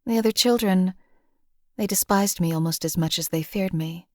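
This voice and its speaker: A cold, detached young woman who was raised as property and learned to kill without hesitation, voiced by an actress for a game. She speaks quietly and matter-of-factly with a slightly low voice. The recording is dry and close, with no room sound. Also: moderate pace, dry recording, lightly acted. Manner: quiet, matter-of-fact, emotionally flat delivery. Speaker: game character.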